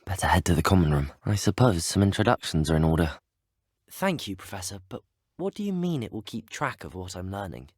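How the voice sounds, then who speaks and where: A young British student wizard, a courteous and curious game hero. His delivery is articulate and very lively in pitch, with a slightly husky edge, recorded close and dry. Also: fairly quick, no echo, heavily acted. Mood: polite, wry. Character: polite, inquisitive teenage male wizard student hero. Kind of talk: video game voice lines.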